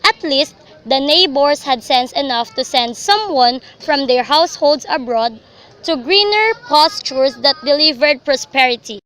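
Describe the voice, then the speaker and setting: A young woman narrates a radio drama. Her voice sits high and has a bright, forward edge, and she delivers the scripted story as a storyteller. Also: lively intonation, measured pace. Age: young adult.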